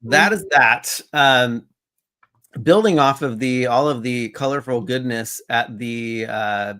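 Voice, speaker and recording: A man co-hosts a casual toy news chat in an easygoing, chatty way. His voice sits at a middle pitch. He laughs along with his co-host. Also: slow, pushed and bright.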